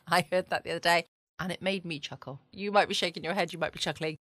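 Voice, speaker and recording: A woman's voice: lowish voice, fairly even intonation, quick pace, a bit bright, no room sound, clean studio sound. A woman with a southern English accent hosting a casual bedtime podcast in a chatty, rambling, playful way. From England. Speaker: podcast host. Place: small home studio.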